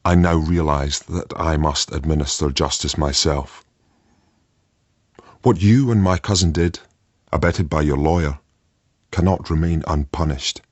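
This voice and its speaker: Very deep, brooding ex-soldier. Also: male voice, fairly even intonation, slightly rough, no room sound, heavily acted. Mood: cold, vengeful. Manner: brooding, terse, deliberate. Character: embittered male ex-soldier bent on revenge, brooding and menacing. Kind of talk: radio drama monologue. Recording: muffled, with loud background noise.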